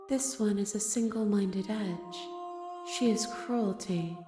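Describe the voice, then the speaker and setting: A woman acting a calm otherworldly female entity in a visual novel game, speaking in measured, philosophical riddles with detachment. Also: medium pitch, lightly acted. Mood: solemn, serene. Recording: echoing game voice over music.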